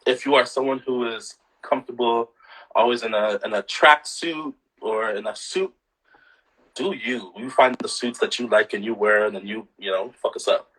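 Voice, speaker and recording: A man from Canada, a singer, chatting casually as an interview guest, relaxed, with lots of fillers and laughter. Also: medium pitch, normal speed, husky, poor audio.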